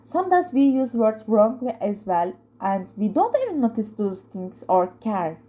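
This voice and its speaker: Mellow-voiced woman with a Turkish accent. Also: fairly high pitch, big pitch swings, normal speed. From Turkey. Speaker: video host reading viewers' answers. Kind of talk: casual vlog talk, reading out comments.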